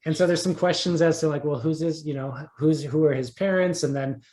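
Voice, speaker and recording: A man being interviewed as a writer, talking casually and haltingly, often repeating words as he thinks aloud. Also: a little high, fairly even intonation, a little mellow. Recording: roomy echo.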